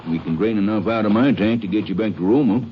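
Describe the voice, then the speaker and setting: Dull, slightly noisy old radio sound. A man plays a low-voiced American small-town constable, folksy and laconic, in 1950s radio drama style. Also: fast, close to an everyday voice. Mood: helpful, easygoing.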